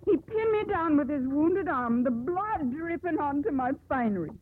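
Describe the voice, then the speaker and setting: A haughty young ship captain's daughter in an old radio play, high and swooping in pitch. She declaims her grievances with emphatic indignation. The sound is a tinny vintage broadcast. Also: lively intonation, moderate pace, slight background noise. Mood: indignant, wounded. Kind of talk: 1950s radio drama acting. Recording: tinny, band-limited 1950s radio broadcast.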